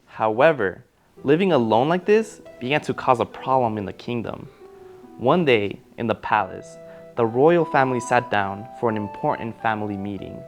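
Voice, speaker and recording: A man playing an eager, encouraging schoolteacher who reads fairy tales aloud to children in an animated, coaxing way. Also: medium pitch, moderate pace, faint music behind, lightly acted. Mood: storytelling. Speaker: teacher and storyteller. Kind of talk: children's read-aloud storytelling.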